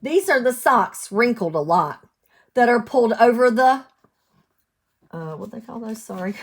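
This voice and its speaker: An American woman reading a storybook aloud to young children as a teacher would, in an expressive sing-song way, asking them questions. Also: a little high, a little slow, husky. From United States, lived in West Virginia.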